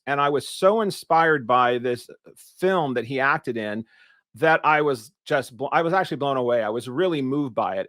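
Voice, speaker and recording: Rambling podcast host, dry close sound. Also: male voice, mid-range voice, fairly bright tone, no echo. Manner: rambling, stumbling, enthusiastic. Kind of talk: interview host introduction and questions.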